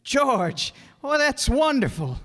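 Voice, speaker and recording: A man playing a gentle, guileless elderly guardian angel in a radio drama, courtly and fussy in an old-fashioned way. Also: extremely high pitch, sing-song, slow, exaggerated voice. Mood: excited, wondrous. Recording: echoey stage hall, long reverb.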